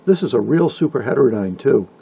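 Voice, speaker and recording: An older man narrating informally from a script, with a husky, gravelly voice and wry, folksy asides. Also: medium pitch, expressive, quick pace, dark tone. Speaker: hobbyist video narrator. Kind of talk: informal scripted narration about vintage radios.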